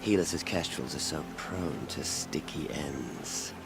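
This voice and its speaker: Low-voiced cynical exiled healer. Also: male voice, takes it slowly, creaky, loud music behind the voice, heavily acted. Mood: sardonic, smug. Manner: dry, wordy, sardonic. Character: cynical, self-pitying exiled male healer, haughty and eloquent. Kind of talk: video game voice acting.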